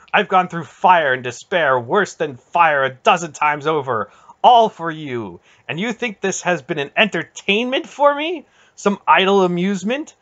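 A man's voice: lowish voice, sharp tone. An ancient sorceress aunt, acted out by a male narrator, stern and imperious. She speaks with cutting, commanding intensity, as in a fantasy storybook. The recording is slightly dull and muffled. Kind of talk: dramatic audiobook character voice.

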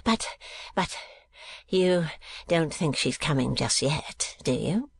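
A man voicing an anxious, sly old miser for a storybook, croaking and cracked, faltering and wheedling as he repeats himself. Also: a little high, lively intonation, takes it slowly, dry recording, heavily acted. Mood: nervous, cowed. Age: older. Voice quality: croaking, wheezy cackle, husky and cracked.

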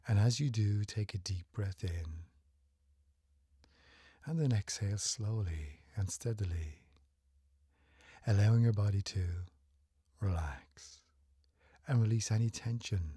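Man, very husky and breathy, low-pitched, soothing meditation guide, gentle near-whispered delivery. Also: expressive, measured pace, long pauses, no room sound, studio quality.

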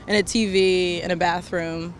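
A woman's voice: on the low side, fairly even intonation, normal speed, a little hiss or hum. A woman answering a street interviewer outdoors in a casual, chatty way, full of "like". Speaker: passerby interviewee. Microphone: handheld mic held by interviewer.

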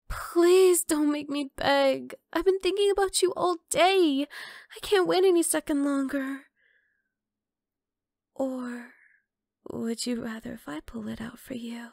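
Woman acting a high-voiced, teasing vampire girl. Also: darkish tone, dry recording, clean studio sound. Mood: eager, coaxing. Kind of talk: ASMR roleplay.

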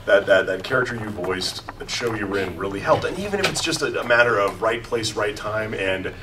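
A male voice actor in his thirties on a convention panel, talking reflectively and joking, with a low voice. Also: brisk. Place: large convention hall. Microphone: table mic, far camera. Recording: distant camera in noisy hall with crowd.

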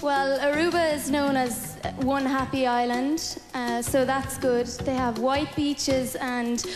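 A young woman answering onstage pageant interview questions in a composed, measured way. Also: high voice, steady tone, long reverb tail, over music. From Ireland. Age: young adult. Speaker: pageant contestant. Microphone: handheld mic held by host.

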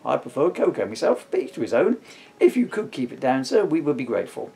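A man's voice: a little high, wildly swooping pitch, fast, creaky, warm tone, heavily acted. A man voicing a polite, sarcastic police officer from a comic storybook, with a formal, dry manner. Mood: polite, wry.

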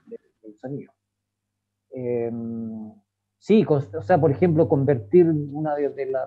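A Chilean man talks reflectively on a video call panel. His voice sits a little high for a man and comes through thin and phone-like. He often pauses and restarts as he searches for words. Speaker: actor in a theatre company. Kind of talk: reflective talk in an online panel.

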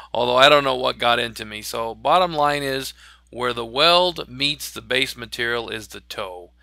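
A welding instructor narrates over footage of his work in a dry, close voice-over. His voice is gravelly yet crisp and bright. He explains each step plainly and practically, with frequent filler pauses. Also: medium pitch, no room sound.

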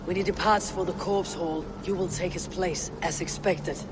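Casting: male actor as loyal Norse raider for a game, high-pitched for a man, firm, blunt, noisy ambience behind. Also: fairly even intonation, moderate pace, close to an everyday voice. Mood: firm, urging. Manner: firm, direct. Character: resolute, blunt Viking warrior, loyal friend. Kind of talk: video game cutscene acting.

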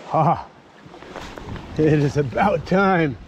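A man's voice: a little high, lo-fi sound. A young American man chatting casually to himself in short exclamations while fishing outdoors on a river. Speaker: angler and fishing vlogger. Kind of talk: casual off-the-cuff fishing vlog chat. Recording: loud rushing river water noise outdoors.

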